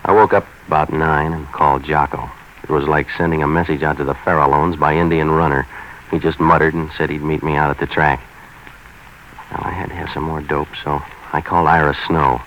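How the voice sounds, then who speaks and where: An actor playing a cynical private investigator in 1940s radio noir, narrating and sparring in a terse, deadpan, wisecracking way. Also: male voice, brisk. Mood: world-weary. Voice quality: low-pitched voice. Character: cynical hard-boiled male private investigator for hire. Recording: dull, noisy 1940s radio recording.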